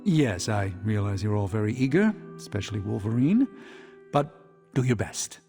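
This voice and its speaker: A man playing a learned, self-assured sorcerer doctor in a video game cutscene, speaking in formal, precise, deliberate phrases. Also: on the low side, animated, vocal fry. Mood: skeptical, urging. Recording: loud game music behind.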